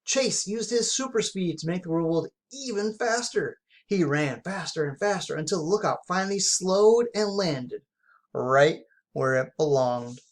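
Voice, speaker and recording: A reader telling a children's adventure story aloud in an expressive, emphatic way. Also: medium pitch, a little slow.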